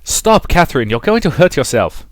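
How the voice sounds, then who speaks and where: A man's voice: on the high side, very animated, moderate pace, slight background noise, voice pushed up. A man voicing a suave, defensive aristocratic fiancé from a visual novel, protesting in a weary, formal way. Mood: exasperated.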